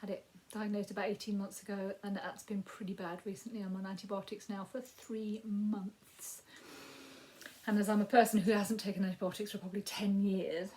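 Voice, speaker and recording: A woman in her fifties with a Yorkshire accent and a croaky voice, giving a rambling, casual crafting update with frequent ums. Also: medium pitch, level tone, a little fast, dark tone, slight background noise, poor audio. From Yorkshire, England. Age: 50s. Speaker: crafting podcast host.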